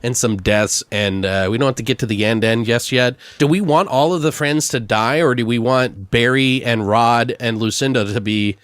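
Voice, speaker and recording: A man's voice: medium pitch, normal speed, hardly any pauses, fairly bright tone, dry recording. A man hosting a podcast, thinking aloud in a casual, rambling, sweary way. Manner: rambling, profane. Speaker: podcast host. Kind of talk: casual improvised brainstorming chat.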